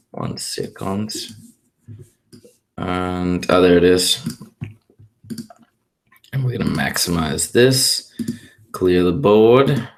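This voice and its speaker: A man with a hoarse voice, tutoring math on a live stream and working problems out loud in an upbeat, chatty way. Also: sits low. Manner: upbeat, chatty, thinks aloud. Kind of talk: live-streamed test walkthrough. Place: indoors at a whiteboard and screen share.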